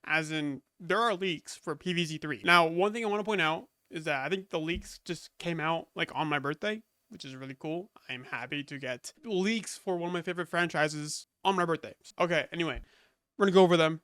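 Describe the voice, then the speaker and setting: A man's voice: fairly high pitch, brisk, bright, forceful tone, no echo, clean studio sound. A young man recovering from a cold, rambling casually with lots of fillers as he goes over game leaks. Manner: rambling, many fillers and restarts. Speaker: gaming YouTuber. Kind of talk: casual commentary reviewing leaks.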